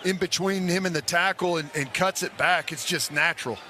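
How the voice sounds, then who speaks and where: A man's voice: on the high side, brisk. A man giving conversational, folksy color commentary on a live football radio broadcast. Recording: loud stadium noise behind the broadcast voice. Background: stadium crowd and ambience.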